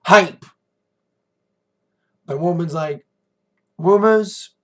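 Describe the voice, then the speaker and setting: Man, American accent, high pitch, slightly hoarse, informal vlog review, rambling, emphatic. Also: very slow. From United States. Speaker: wrestling review vlogger. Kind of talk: casual recap commentary to camera.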